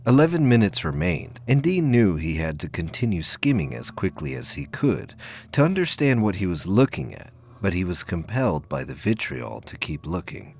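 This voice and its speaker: A man narrating a science fiction audio drama in a solemn, measured, dramatic storytelling style. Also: low-pitched, big pitch swings, gravelly, a little mellow.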